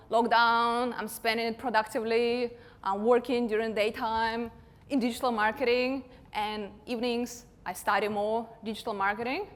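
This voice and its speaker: A woman in her thirties from Russia, speaking English with a Russian accent, gives a chatty, self-deprecating conference talk with light laughs. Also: a little high. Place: large conference hall. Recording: long hall reverb.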